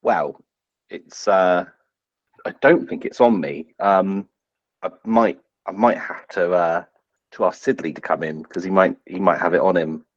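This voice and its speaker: A man's voice: deep voice, measured pace, no echo. A man voicing a cheeky, bumbling young squire in a tabletop game, hesitant and rambling, on a slightly dull close microphone.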